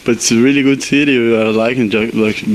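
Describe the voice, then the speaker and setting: A man's voice: mid-range voice, steady tone, fast, poor audio. Young man from Brazil, street interview. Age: young adult. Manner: friendly, repeats words. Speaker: exchange student being interviewed.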